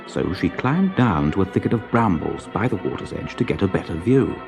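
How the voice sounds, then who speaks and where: A man with a fairly low voice reads a children's story aloud. His delivery is dramatic and measured, with very animated rises and falls in pitch. Music plays clearly behind him. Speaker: storybook narrator. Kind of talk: expressive children's story narration.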